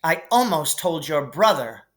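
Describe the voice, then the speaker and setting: A man's voice: fairly high pitch, very animated, measured pace, fairly bright tone, voice pushed up. A man voicing a bossy, disapproving schoolgirl in a prim, scolding storybook read-aloud.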